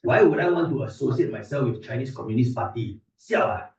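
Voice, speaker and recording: A man's voice: mid-range voice, expressive, a little fast, a little mellow, close to an everyday voice. An actor playing a brash, indignant Singaporean man who talks in a Singaporean English accent and answers in blunt, slangy retorts. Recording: video played over hall speakers, echoey, distant, dull.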